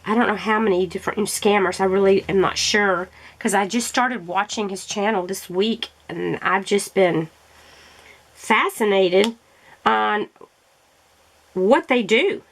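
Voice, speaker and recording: Gravelly-voiced woman chatting casually. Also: mid-range voice, measured pace, slight background noise. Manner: rambling, chatty, self-correcting. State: throat irritated by allergies. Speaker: crafting vlogger. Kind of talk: casual chat while crafting.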